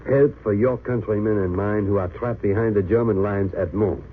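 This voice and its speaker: A man's voice: lowish voice, normal speed, close to an everyday voice. An actor playing an earnest, cautious Belgian man who politely seeks help, in an old radio drama. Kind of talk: 1930s-40s radio drama acting. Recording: noisy narrow-band old radio recording.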